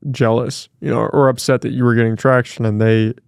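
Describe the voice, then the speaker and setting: A man's voice: mid-range voice, flat delivery, a little fast, creaky, clear, resonant tone, no room sound, studio quality. A man hosting a podcast, talking in a reflective, encouraging way with casual swearing. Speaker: podcast host. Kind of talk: casual interview conversation.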